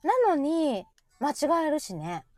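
A woman's voice: high-pitched, sing-song, takes it slowly, no room sound. A woman with a Kansai accent chatting casually as co-host, bantering and poking fun at herself. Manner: bantering, self-deprecating. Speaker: co-host of a chat show. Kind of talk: casual chat. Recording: music playing behind the voice. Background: music.

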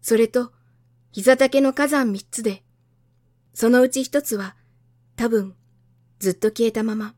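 A woman's voice: fairly high pitch, measured pace, pauses often, a little mellow, no echo, studio quality, close to an everyday voice. A woman voicing a naive, wistful young boy prince in a storybook, with childlike, plain speech. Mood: dejected.

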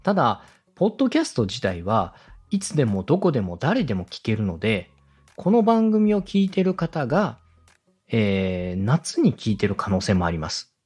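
Man coaching with very lively intonation. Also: medium pitch, moderate pace, breathy edge, soft background music. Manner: polite, instructive, with demonstrated example phrases. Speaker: radio director and podcast host giving advice. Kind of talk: step-by-step coaching on presenting.

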